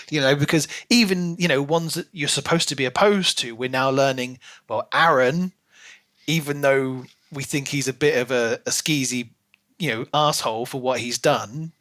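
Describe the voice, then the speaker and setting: A man's voice: mid-range voice, normal speed, fairly bright tone. A man co-hosting a podcast, talking informally and casually as he discusses a book. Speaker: podcast co-host.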